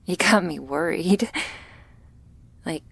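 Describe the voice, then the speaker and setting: A woman playing a shy, anxious, apologetic young vampire in an intimate ASMR roleplay, speaking softly and haltingly. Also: lowish voice, slightly rough, dry recording, full character voice. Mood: sheepish. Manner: hesitant, halting, soft-spoken with whispery moments and nervous little laughs.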